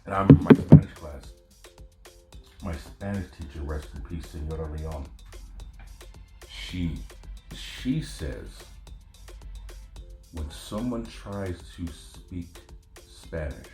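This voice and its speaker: Deep-voiced man ranting in an echoey room. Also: animated, slow, pauses often, warm tone, faint music behind. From Northern California. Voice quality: profane, conversational rant. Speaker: podcast host. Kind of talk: casual monologue.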